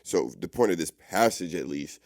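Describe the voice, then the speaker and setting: A man with a notably deep voice argues on a casual podcast panel. He is emphatic and insistent, circling back to repeat his points among friends. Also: lively intonation, moderate pace, a little mellow.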